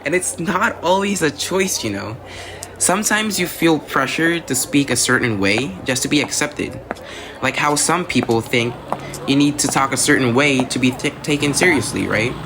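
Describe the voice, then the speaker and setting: A man's voice: on the high side, expressive, moderate pace. A man playing an earnest, studious student in an amateur radio drama, explaining ideas conversationally and often checking in with a "right?". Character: earnest, academically-driven male student explaining ideas to classmate. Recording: noisy outdoor ambience.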